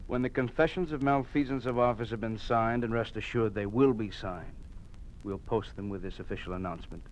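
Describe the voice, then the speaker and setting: A man acts out a cold enforcer of a regime in a vintage radio play, on a faintly noisy recording. He is curt and smug, threatening in a fairly low voice. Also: steady tone, brisk, close to an everyday voice. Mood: menacing. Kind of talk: old-time radio drama acting.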